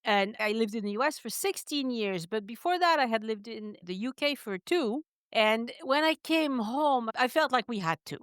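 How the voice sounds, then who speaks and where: A woman's voice: on the high side, moderate pace, few pauses, smooth, no echo. Middle-aged french lady hosting a travel podcast, bouncy chatty voice, dry close mic. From France. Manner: lively, chatty. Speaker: podcast host.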